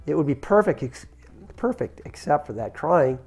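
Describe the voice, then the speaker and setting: A laid-back, friendly frog from a children's picture book, talking in an unhurried, neighborly way, as a man reading aloud puts him on. The voice stays close to the reader's own, with soft music faintly underneath. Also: a little high, big pitch swings, slightly rough, full character voice. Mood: easygoing. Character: easygoing friendly male frog.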